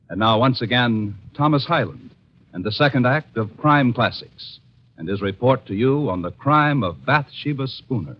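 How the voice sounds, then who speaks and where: A man announcing from a script in a formal, polished 1950s radio style. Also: mid-range voice. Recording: muffled 1950s radio recording.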